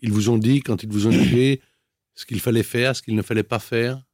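A male interviewer asks probing questions, often rephrasing and pressing further. His voice sits at a middle pitch. The recording is dry and close. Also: fairly even intonation, brisk, darkish tone, dry recording. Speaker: interviewer, host.

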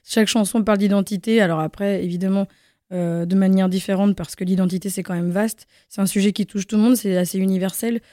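A woman's voice: mid-range voice, fairly even intonation, quick pace, mellow, dry recording, studio quality. A young adult woman from Guatemala, a singer and actress, answering a radio interviewer in a casual, hesitant way with many fillers and restarts.

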